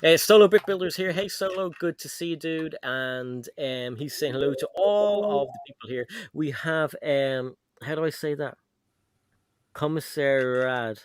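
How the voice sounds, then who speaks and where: A man's voice: fairly high pitch, measured pace, clear, resonant tone. A middle-aged man hosting a casual livestream from a home room, reading viewer comments and joking with his son. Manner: relaxed, joking, talks to his son on camera. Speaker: host of a Lego livestream. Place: home room full of Lego shelves.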